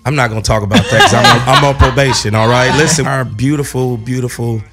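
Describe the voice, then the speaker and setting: A man's voice: medium pitch, fairly even intonation, quick pace, over loud music. A man from the American Midwest hosting a radio talk show in a goofy, outgoing, joking way. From Gary, Indiana. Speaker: host. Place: radio studio.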